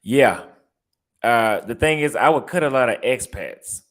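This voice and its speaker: A man's voice: medium pitch, lively intonation, unhurried, fairly bright tone. A young man with a Texas accent, chatting casually in a vlog and reacting aloud as he browses apartment listings, repeating his phrases. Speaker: vlogger and barber.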